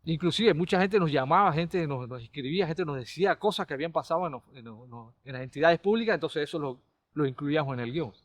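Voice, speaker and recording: A man's voice: a little high, expressive, quick pace, dry recording. Panamanian man telling stories, dry close sound. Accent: Panamanian Spanish. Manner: rambling, many restarts and repeated words. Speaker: caricaturist and comedy writer, guest. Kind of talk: relaxed conversational storytelling.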